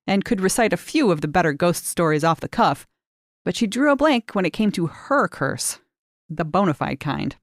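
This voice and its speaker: A woman with a slightly low voice narrates a short story in a measured, expressive way. She lifts key words for emphasis. The recording is close and dry, with no room sound. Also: no echo. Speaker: reader of short fiction.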